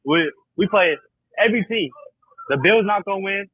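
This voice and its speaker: A tinny phone voicemail carries a man's high, husky voice. He is a sports fan calling a show, blunt and slangy, boasting emphatically and repeating his claims. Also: expressive, normal speed, no room sound.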